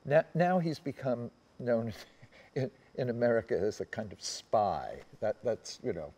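A man in his seventies reminiscing as a panel guest on a theatre stage, speaking in a halting, tentative way. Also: medium pitch, expressive, dark tone, slightly rough. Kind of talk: conversational reminiscence.

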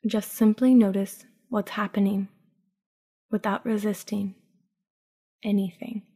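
A woman narrating a scripted guided sleep meditation in a soothing, hushed, unhurried manner with long pauses. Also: mid-range voice, little pitch movement, husky.

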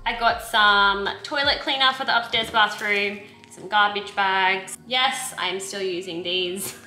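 A woman's voice: medium pitch, steady tone, measured pace, sharp tone, faint music behind. A young Australian woman chatting casually and rambling a little, filmed in her home kitchen by a camera on the counter. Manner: chatty, rambling with self-corrections. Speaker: vlogger. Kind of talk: casual grocery haul chat. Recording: echoey kitchen with background noise.

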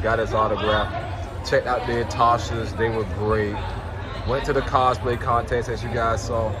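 Young man rambling into his phone. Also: medium pitch. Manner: loose, rambling, repeats himself. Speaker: vlogger. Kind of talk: casual vlog recap. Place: convention venue, late at night. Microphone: phone at arm's length.

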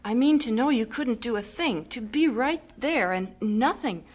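An earnest young teacher. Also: female voice, a little high, animated, normal speed. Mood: sympathetic. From Canada. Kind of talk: radio drama acting.

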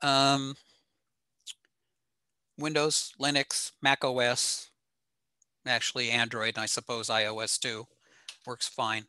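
Older male presenter, informal technical webinar, matter-of-fact delivery, bright tone, dry close sound. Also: mid-range voice, level tone, slow pace, long pauses, clear, resonant tone, no echo. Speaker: presenter at an amateur radio talk. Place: small room at home, on a video call.

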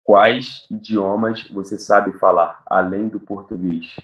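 A young man in his twenties with a Rio de Janeiro accent talks in a low, deep voice, patient and encouraging. He sounds roomy and echoey over a webcam video call. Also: fairly even intonation, slow, highs cut off, a little hiss or hum. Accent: Brazilian, Rio de Janeiro. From Brazil. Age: 20s. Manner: patient, encouraging, repeats words back. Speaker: video chat partner. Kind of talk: casual video chat. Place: room indoors. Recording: echoey room.

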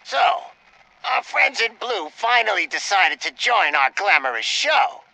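A man's voice: sits high, big pitch swings, unhurried, creaky, bright, forceful tone, no echo, full character voice, rough recording. An actor playing a cocky, sadistic male gang leader in a video game, taunting like a theatrical showman. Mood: gleeful, taunting.

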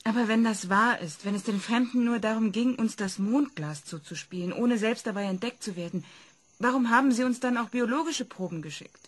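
A woman's voice: fairly high pitch, brisk, close to an everyday voice. An actress in a radio play voicing a skeptical woman who asks probing questions. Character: female inquisitive skeptic, polite and probing. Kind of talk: German radio play acting.

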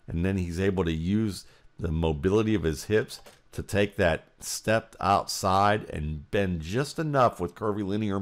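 A man with an American accent and a deep voice breaks down sports footage. He talks in an explanatory way, working out his analysis aloud as the play runs. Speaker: football film analyst. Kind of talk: play-by-play film breakdown commentary.

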